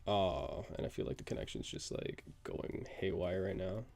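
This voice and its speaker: A low-voiced man with a gravelly fry, a bit nasal as he gets over a cold. He chats casually while he codes live and thinks aloud, pausing often on fillers. Soft music plays faintly behind him. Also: lively intonation. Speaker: live-streaming programmer. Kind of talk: casual live coding chat.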